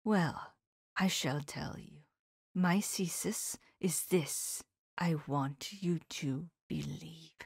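A grave, tender old professor , voiced by a woman reading the book aloud, leans in and speaks in a soft, breathy near-whisper. His formal, old-fashioned phrasing comes slowly and deliberately, low and quiet. The sound is dry and close to the mic. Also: dry recording, heavily acted. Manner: hushed, often whispered, slow and solemn. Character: elderly male professor, grave, tender and sorrowful. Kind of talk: audiobook character voice.

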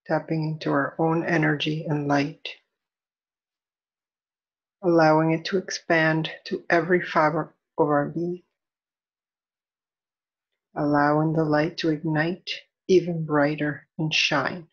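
A woman leading a guided meditation as an instructor, soothing and deliberate, in measured phrases with long pauses. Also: sits low, flat delivery, breathy edge, dull audio.

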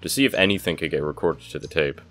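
A young man with a deep, low-pitched voice narrates a hands-on DIY build. His delivery is casual and explanatory, with an understated, dry sense of humor. Some music can be heard faintly behind him. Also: fast, fairly bright tone. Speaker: DIY electronics video maker.

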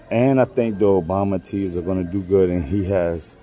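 A middle-aged man chatting casually in a vlog, slangy and informal, with a raspy voice that is wearing out. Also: low voice, normal speed, dark tone. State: losing his voice. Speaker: vlogger and clothing reseller. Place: in stores, a parked or moving car, and a room at home.

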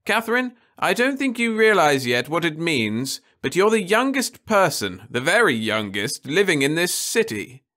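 A man's voice: fairly high pitch, big pitch swings, normal speed, few pauses, pushed and bright, voice pushed up. A firm, insistent middle-aged mother, voiced by a man in a read-aloud story. She speaks in a raised voice that rises and falls with feeling, earnest and unbending.

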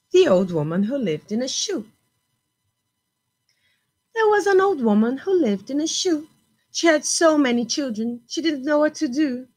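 A woman in her thirties hosting a children's storytime, reading expressively in simple, deliberate phrases. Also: high-pitched, darkish tone.

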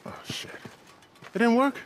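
An actor playing an excitable, cocky, boyish army lieutenant in a video game. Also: male voice, measured pace, slight background noise, natural voice. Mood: excited. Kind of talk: video game cutscene acting. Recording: game cutscene with music behind.

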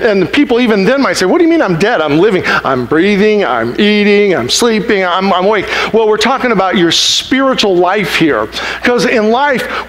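A middle-aged male pastor with a high voice preaches from a big church stage. He talks conversationally and turns animated when he acts out people's complaints. His pace swings between quick asides and slow, weighty lines. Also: poor audio. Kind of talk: sermon. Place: large church auditorium stage.

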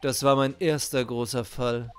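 A man voicing a stern, formal young male prosecutor from a video game, speaking in a measured, serious, clipped way. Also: mid-range voice, fairly even intonation, clear, resonant tone, natural voice. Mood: brooding, resigned.

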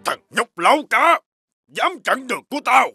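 A man voicing a greedy, bad-tempered older master in an animated folk tale, scolding and bossing in an exaggerated way. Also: very high voice, moderate pace, vocal fry, over music, heavily acted. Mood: furious.

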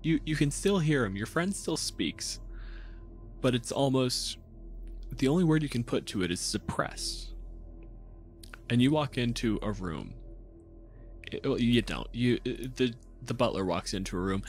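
A man narrating a tabletop game as game master, telling it dramatically with halting pauses. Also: medium pitch, lively intonation. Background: music.

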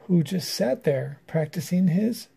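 A man reading a children's story aloud in an animated, dramatic way. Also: high-pitched, measured pace, creaky, husky. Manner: animated, dramatic, with character voices. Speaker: storyteller reading a picture book. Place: room in a house.

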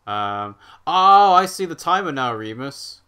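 Bright-voiced man chatting through gameplay. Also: fairly high pitch, sing-song, a little slow, smooth. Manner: chatty, reacting aloud and reading chat. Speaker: let's play streamer. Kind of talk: casual live game commentary.

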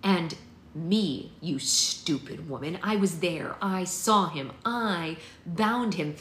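A woman's voice: fairly low pitch, animated, moderate pace, close to an everyday voice. A fiery, wounded grown daughter from a storybook, acted by a woman reader. She is blunt and emphatic, heard in a roomy space with faint noise. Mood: tense.

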